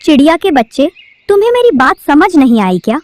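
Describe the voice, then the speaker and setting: A woman's voice: high-pitched, very animated, fairly quick, a little mellow, dry recording. A woman voicing a bossy, greedy female crow teacher in a cartoon, with a scolding manner. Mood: threatening. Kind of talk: cartoon voice acting.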